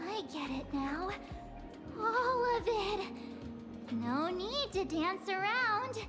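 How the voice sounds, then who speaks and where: A woman's voice: extremely high pitch, very animated, slow, full character voice. A woman voicing a playful, cocky young female trickster in a video game, teasing and mock-grandiose. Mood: smug, playful. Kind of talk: video game character acting. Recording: long reverb, game music behind.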